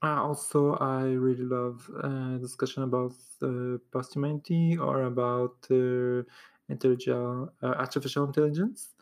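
A woman's voice: extremely low pitch, steady tone, normal speed, a little mellow. A woman from Montreal with a Québécois French accent, a native French speaker, hosting a solo podcast in English and talking hesitantly with many fillers and restarts. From Quebec, Canada. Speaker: podcast host.

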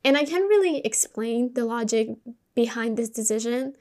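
A woman's voice: a little high, lively intonation, moderate pace, warm tone. A woman from Colombia, a native Spanish speaker, hosting a podcast and telling a personal story in a candid, informal, conversational way. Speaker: podcast host.

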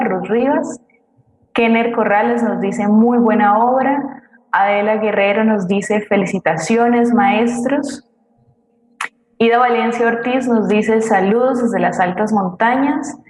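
A woman's voice: medium pitch, a little slow, a little mellow. Colombian woman in a very echoey room. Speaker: co-host reading audience comments. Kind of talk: live programme hosting, reading out messages. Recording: very echoey room, faint noise.

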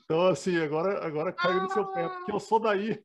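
A man from Rio Grande do Sul with a faint gaúcho accent, a guest chatting in a friendly, relaxed way. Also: sits high, fast, noisy background. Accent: faint gaúcho Brazilian Portuguese. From Rio Grande do Sul, Brazil. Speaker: guest, researcher. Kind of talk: casual interview chat.

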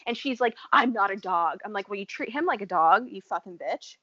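A woman's voice: medium pitch, animated, brisk, pushed and bright, no echo, lo-fi sound. A woman from the United States in casual, blunt and profane chat, laughing often. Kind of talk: casual chat about a reality show.

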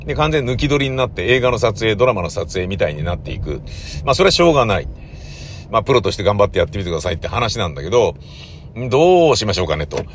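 A man's voice: on the low side, very animated, fast, a little hiss or hum. A man talking casually and at length as a playwright recounting his work, in a discursive, emphatic, colloquial way. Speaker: playwright and troupe leader.

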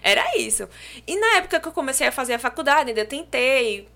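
A young adult woman with a Brazilian accent from João Pessoa, a podcast guest telling her story in casual chat, lively and animated. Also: sits high, brisk, gravelly. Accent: Brazilian Portuguese, from João Pessoa.

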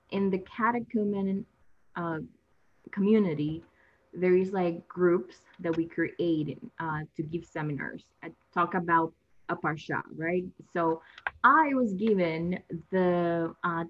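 Nervous young woman, dull lo-fi sound. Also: lowish voice. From Latin America. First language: Spanish. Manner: nervous, laughing, rambling with many restarts. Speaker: guest. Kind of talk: conversational interview.

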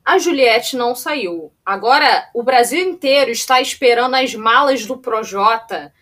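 A woman co-host in a loose, casual chat, her voice sitting a touch high. She talks in a playful, mocking way and swears freely. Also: animated, measured pace, a bit bright. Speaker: podcast co-host.

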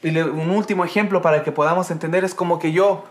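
A man's voice: sits high, fairly even intonation, fast. A man leading a casual Bible study livestream, who repeats his phrases and punctuates them with "amén" and a questioning "¿ah?". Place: home in Canada.

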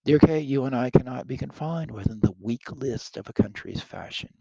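A man's voice: medium pitch, moderate pace, gravelly, dark tone, slightly rough, no echo, dull audio. A man reading the part of a bold young English king wooing a princess, declaiming the old verse earnestly. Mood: ardent.

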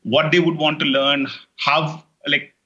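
Indian guy w/ telugu roots chatting on a call, raised pitch, super bright, kinda dull lo-fi sound, lots of likes and uhs. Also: little pitch movement, unhurried. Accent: Indian English. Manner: rambling with many fillers and restarts. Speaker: guest, online course creator. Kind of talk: casual interview chat.

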